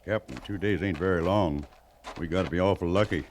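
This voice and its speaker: A deep-voiced, folksy frontier scout. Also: male voice, fairly quick. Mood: worried. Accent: American, rustic Western. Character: folksy, wary male frontier scout living among the Arapaho. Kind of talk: old-time radio western acting. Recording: noisy vintage radio recording with clicks.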